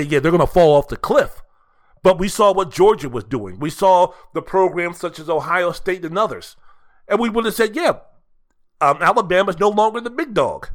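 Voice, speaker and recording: A male sports podcaster with a notably high, sharp-edged voice. He delivers opinionated solo commentary, leaning on rhetorical questions and repetition. His delivery is emphatic and theatrical.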